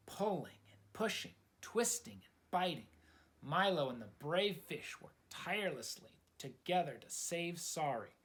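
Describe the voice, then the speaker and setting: Slow, lively male storybook reader. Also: fairly high pitch. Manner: dramatic, addresses young listeners. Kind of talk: expressive children's read-aloud narration.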